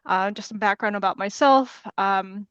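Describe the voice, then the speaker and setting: A woman in her thirties, a council candidate from California, speaks on a video call with a smooth, clear voice. She hesitates often and fills pauses with ums and small laughs. The audio is dry and a little dull. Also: medium pitch, a bit bright, dry recording. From California, USA. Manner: hesitant, many fillers, laughs nervously.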